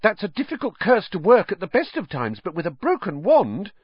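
Man doing a bright, anxious schoolgirl, pitched well up, for a storybook reading. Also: huge pitch swings, brisk, breathy edge, no echo, lo-fi sound, exaggerated voice. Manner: anxious, earnest. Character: clever, earnest schoolgirl.

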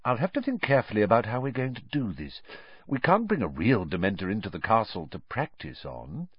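A thoughtful, gentle schoolmaster of magic, acted by a man for a storybook, explains in measured phrases with lifted, varied pitch. The sound is close, dry and a little dull. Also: sing-song, slightly rough, dry recording. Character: gentle, thoughtful adult male teacher of magic. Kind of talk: audiobook character acting.

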